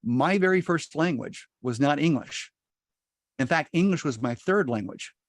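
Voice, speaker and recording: A man's voice: a little high, animated, moderate pace, dry recording, studio quality. A middle-aged man, a native Spanish speaker, teaching real estate investing in a folksy, upbeat way over a video call.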